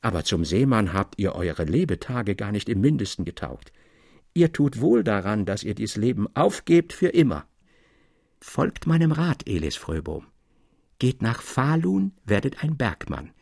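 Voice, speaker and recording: A man's voice: lowish voice, very animated, measured pace, gravelly, darkish tone, breathy edge, dry recording, clean studio sound, heavily acted. Man playing an emphatic, fervent old miner. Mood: earnest persuasion. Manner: emphatic, solemn, old-fashioned formal address. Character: old male miner, stern, persuasive, fervently proud of mining.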